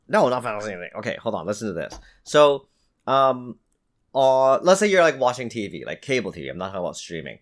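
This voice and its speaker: A man's voice: mid-range voice, big pitch swings, fast. A man co-hosting a podcast, arguing casually and insistently, repeating himself for emphasis and swearing freely. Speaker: podcast co-host. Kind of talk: casual argumentative podcast chat.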